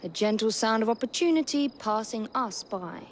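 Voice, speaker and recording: A woman's voice: fairly high pitch, expressive, moderate pace, close to an everyday voice. An actress voicing a dutiful young woman assassin in her twenties, with a dry, sardonic manner. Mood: wry. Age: 20s. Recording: game cutscene with clear background noise.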